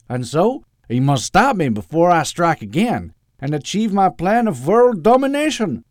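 A boastful male supervillain from a comic audiobook. He rants in a raised, high voice with wide swings of pitch. Every phrase is grandiose and theatrical. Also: dry recording, clean studio sound. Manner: melodramatic, pompous.

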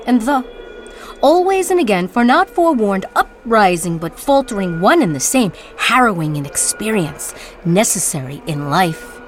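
A woman delivers a theatrical spoken-word monologue in an incantatory way. Her mid-pitched voice moves widely up and down through deliberate phrases, with music faintly behind her. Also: sing-song. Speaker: narrator and performer.

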